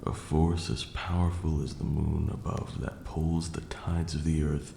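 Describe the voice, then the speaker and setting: Man playing a very deep, gravelly vampire lord. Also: little pitch movement, reverberant, a little hiss or hum, heavily acted. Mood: tender, admiring. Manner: measured, formal, hushed, with long pauses. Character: ancient male vampire lord, wistful, proud, tender. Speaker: ASMR roleplay performer. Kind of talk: ASMR roleplay, slow intimate storytelling.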